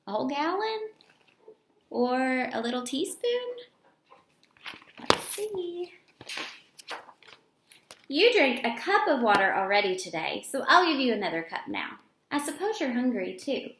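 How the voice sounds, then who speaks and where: A woman's voice: high-pitched, very animated, unhurried, pauses often, fairly bright tone, a little hiss or hum. A woman teacher reading a picture book aloud to young children, expressively and with simple explanatory asides. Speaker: elementary school teacher. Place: indoor room with echo. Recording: echoey room.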